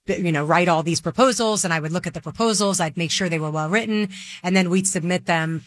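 A woman's voice: on the low side, no room sound, clean studio sound. A middle-aged woman from Dubai in the Middle East, answering interview questions in a chatty way, with lots of "like" and "you know". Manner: chatty, quick, with many fillers like "like" and "you know". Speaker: guest, marketing executive.

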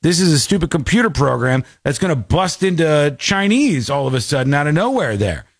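Male host, lively sarcastic delivery, fairly high voice, dry close mic. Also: fairly quick, husky, dry recording. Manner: sarcastic, mocking, animated. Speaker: streamer host reacting to clips. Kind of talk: casual live-stream commentary.

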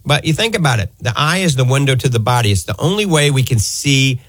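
A man's voice: mid-range voice, animated, fast, fairly bright tone, no room sound. An American man hosting a live health call-in show, explaining things fluently and emphatically on a close studio microphone. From New Orleans area, United States. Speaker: radio call-in show host, eye doctor. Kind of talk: live health talk radio.

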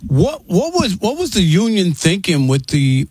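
A man's voice: sits high, wildly swooping pitch, measured pace, darkish tone, breathy edge, no echo, studio quality. A man co-hosting a casual sports talk show, joking and asking jumpy questions.

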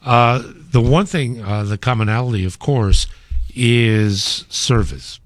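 A man's voice: medium pitch, takes it slowly, no room sound. A man hosting a radio interview in the studio, effusive and fond of formal words. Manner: effusive, formal word choice. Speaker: radio host.

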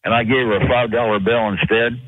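Rambling male caller on a husky phone line. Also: medium pitch, fairly even intonation, fairly quick, no room sound. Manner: rambling, many fillers and restarts. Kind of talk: call-in radio talk.

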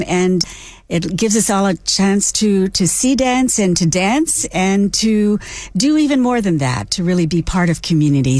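A woman's voice: lowish voice, a little mellow, no room sound. A woman hosting an interview in a conversational broadcast style. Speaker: interview host.

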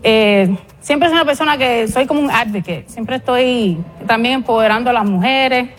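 Higher-voiced woman in a casting interview. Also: normal speed, faint music behind. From born in Cuba, raised in the US from age six. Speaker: pageant contestant, paralegal. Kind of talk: casting interview with some English words.

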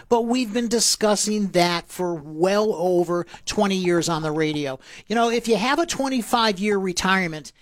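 A man's voice: high voice, a bit bright, no room sound. A man co-hosting a financial radio show, explaining tax planning in a chatty way with fillers and asides. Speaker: radio co-host, financial advisor. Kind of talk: casual radio finance talk.

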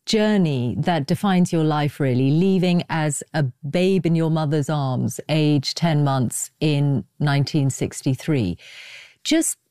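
A woman's voice: sits low, takes it slowly, darkish tone, smooth, no echo, studio quality. A woman conducting a considered literary interview as host, articulate and probing in her questions and comments.

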